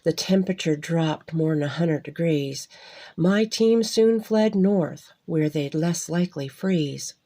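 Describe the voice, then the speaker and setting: A woman's voice: deep voice, unhurried, warm tone. Woman reading as a jolly, folksy little old man. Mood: jolly explaining. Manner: folksy cowboy phrasing, cheerful storytelling. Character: jolly, fat little old man, strange Christmas wagon driver.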